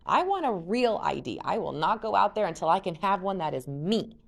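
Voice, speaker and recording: A woman voicing a fierce, defiant teenage girl from a read-aloud story, talking loud and insistent. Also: medium pitch, very animated, fairly quick, gravelly, pitched above the performer's own voice.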